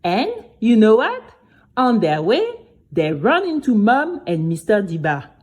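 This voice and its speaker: A woman's voice: medium pitch, unhurried. A woman playing a spirited young girl who recounts her adventure to friends in a chatty, dramatic first-person audio story. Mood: excited, dramatic. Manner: animated, chatty, addresses listeners directly.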